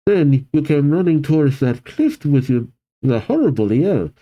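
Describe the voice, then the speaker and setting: A man's voice: a little high, very animated, normal speed, dark tone. A man voicing a stern old woman professor in a comic story read-aloud, scolding in a clipped way.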